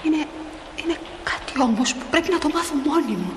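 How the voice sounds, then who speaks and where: An actress playing a newly dead young mother in a stage drama, restless, tender and plaintive, often hushed and breathy. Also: high-pitched, animated. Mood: eager, insistent. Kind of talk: emotional stage drama acting. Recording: noisy, echoing hall recording of a play.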